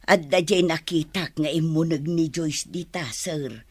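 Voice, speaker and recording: A woman acting a plain-spoken household helper in a drama, measured and deferential yet inquisitive. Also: sits low, slightly rough, dry recording, full character voice. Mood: matter-of-fact, curious.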